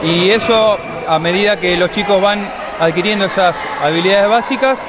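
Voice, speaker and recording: A middle-aged man with an Argentine accent explaining a gymnastics program in measured answers with many "eh" fillers, speaking into a handheld mic in an indoor gym. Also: sits high. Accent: Argentine Spanish. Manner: measured, with frequent hesitant fillers. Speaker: gymnastics school coordinator. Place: indoor gymnastics hall with children. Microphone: handheld mic held by interviewer. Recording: loud crowd and noise behind.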